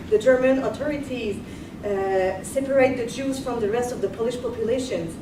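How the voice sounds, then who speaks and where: Young woman lecturing in an echoey room. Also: a little high. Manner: measured, earnest, stops to rephrase. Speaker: guest lecturer. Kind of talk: history lecture, partly read from notes. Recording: echoey room, far mic, background noise.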